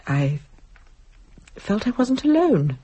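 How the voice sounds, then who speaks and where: An actress playing a sharp-tongued married woman, frightened and defensively rationalising, with a husky voice in a dramatic audio-play delivery. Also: mid-range voice, big pitch swings, slow pace, full character voice. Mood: haunted, fearful. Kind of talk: stage-style audio drama acting.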